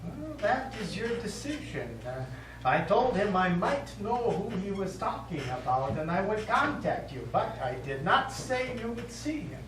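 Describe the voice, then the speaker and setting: In a roomy, slightly noisy stage recording, a gentle parish priest played by a male actor speaks from a distance. He is measured and formal, offering sympathy as a consoling clergyman in a live play. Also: sits high, sing-song, heavily acted. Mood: careful, apologetic. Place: small indoor theatre studio, recorded from audience distance.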